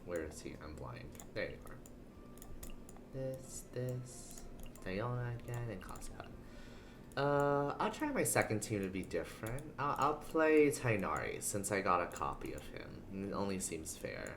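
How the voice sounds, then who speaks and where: Woman gaming on stream, kinda muttering to herself, slow and swoopy, keyboard noise behind. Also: rumbling low, very animated. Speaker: game streamer. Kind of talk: casual live gameplay commentary. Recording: noisy room, clattering keyboard and typing. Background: keyboard clicks and typing.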